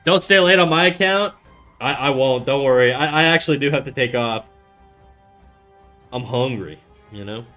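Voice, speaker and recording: A young Canadian man with a bright, forward voice rambles through a laid-back streaming chat, tripping over his words and swearing freely. Soft music sits faintly behind him. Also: medium pitch, moderate pace, pauses often. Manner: rambling, swears often, many fillers and restarts. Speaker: art streamer. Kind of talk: casual livestream chat reading chat aloud.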